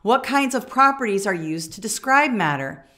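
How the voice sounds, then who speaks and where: A woman's voice: a little high, animated, normal speed, fairly bright tone. An American woman in her forties teaching in a conversational, emphatic way, often checking in with the listener as she demonstrates a classroom lesson. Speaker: teacher and teacher trainer. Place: small furnished room indoors.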